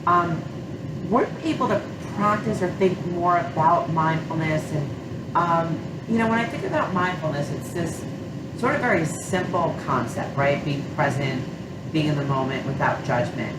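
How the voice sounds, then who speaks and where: A woman consultant with a slight cold and a nasal voice, gently leading a counseling session with many fillers. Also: low-pitched, moderate pace. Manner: gentle, hesitant, many fillers like you know and um. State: has a cold, a little nasal. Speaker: sport psychology consultant. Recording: echoey room, far mic, loud hum.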